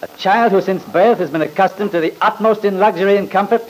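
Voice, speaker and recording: A man's voice: high-pitched, fast talker, low-quality recording. A man playing a pompous, badgering attorney in an old radio drama, formal and sarcastic. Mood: haughty, badgering. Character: scornful, badgering male attorney, pompous and theatrical.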